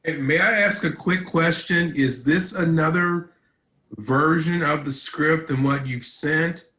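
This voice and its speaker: Higher-voiced man in an echoey room. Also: steady tone, measured pace, sharp tone. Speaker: table read participant. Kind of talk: casual polite questioning.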